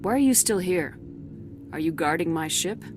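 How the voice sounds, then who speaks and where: Woman as military commander in a scifi game, lowish voice, blunt and terse, music behind. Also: lively intonation, moderate pace, lightly acted. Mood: firm, questioning. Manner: terse, firm, direct. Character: blunt, no-nonsense female military commander, space agent. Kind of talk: scripted video game acting.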